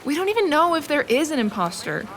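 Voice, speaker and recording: A woman's voice: sits high, big pitch swings, fast talker, fairly bright tone, a little hiss or hum, full character voice. An actress playing a teasing, skeptical young female student in an audio drama, wry and playful. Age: young adult. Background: music.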